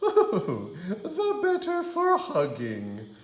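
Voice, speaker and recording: A sly storybook wolf pretends to be a dear old granny, acted out by a man. The voice is pitched up and swoops in exaggerated, sugary, drawn-out coaxing, heard in an echoey room. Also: wildly swooping pitch, heavily acted. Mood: sweet, scheming. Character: sly hungry male wolf posing as sweet granny.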